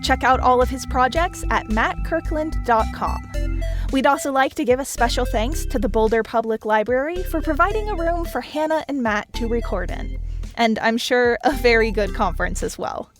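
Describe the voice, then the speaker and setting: A woman's voice: on the high side, animated, moderate pace, music in the background. A woman reading a podcast's scripted outro credits in a friendly tone.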